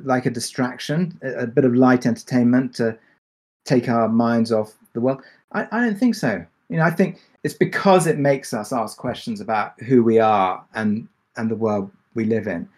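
A man's voice: mid-range voice, a little mellow. A man with a mid-range voice talks as a podcast creator on a panel. He is reflective and thoughtful, often restarting a phrase or repeating a word before he carries on. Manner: thoughtful, halting, repeats words mid-sentence.

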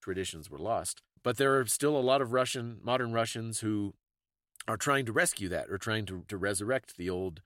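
A man's voice: on the low side, animated, moderate pace, fairly bright tone, dry recording, studio quality. A man hosting a podcast, explaining things in a casual, thoughtful conversational way. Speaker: podcast host.

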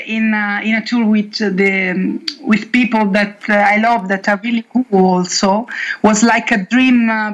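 Slow, bright-voiced Italian woman. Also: medium pitch, rough recording. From Italy. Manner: halting, many fillers and restarts. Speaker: metal band singer. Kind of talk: interview answers.

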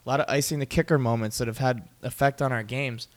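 A young man co-hosting a casual sports podcast, telling game stories in an animated way and laughing often. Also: mid-range voice, quick pace. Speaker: podcast co-host.

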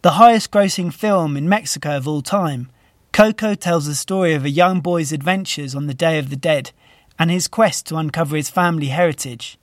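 A man's voice: on the high side, normal speed, no room sound, studio quality. A man co-hosting a podcast, reading scripted news and culture narration. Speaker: podcast co-host.

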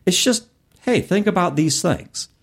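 A man co-hosting a podcast in a casual, explanatory way, animated and expressive as he talks and reads aloud. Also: high voice, unhurried, vocal fry. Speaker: podcast co-host. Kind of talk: casual chat about tabletop gaming, reading passages aloud.

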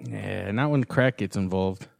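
A man's voice: lowish voice, measured pace, vocal fry, darkish tone, no echo. Blunt, foul-mouthed man chatting, dry sound. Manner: blunt, profane. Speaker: podcast co-host. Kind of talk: casual comedic chat, paraphrasing news.

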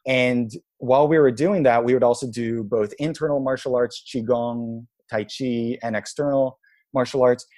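Relaxed man telling his story. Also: mid-range voice, moderate pace. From Israel. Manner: relaxed, reflective, frequent "like" and "you know". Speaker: guest. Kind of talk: casual storytelling interview.

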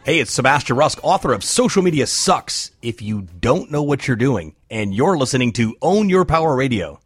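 A man records a radio promo in a husky voice with lively, swinging pitch. The sound is dry, with faint music behind him. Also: normal speed, dry recording. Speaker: author giving a radio station promo.

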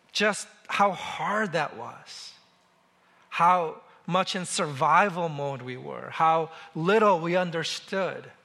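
A man in his forties from South Korea delivers a sermon in a bright, forceful voice that sits a bit high for a man. He speaks in a measured, emphatic way and lingers on each word when he lists things. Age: 40s. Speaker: pastor.

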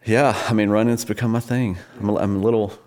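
A man in his forties with a very deep voice talks as a podcast guest. He is easygoing and self-deprecating, with plenty of ums and you-knows. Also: little pitch movement, fast talker, darkish tone. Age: 40s. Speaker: worship leader, podcast guest. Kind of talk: casual interview conversation.

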